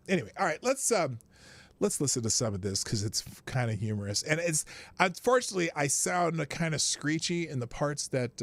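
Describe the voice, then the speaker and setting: A man's voice: on the high side, a bit bright, dry recording. A man hosting a live show, commenting casually with rambling asides and jokes. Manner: rambling, self-mocking, joking asides. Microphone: studio microphone.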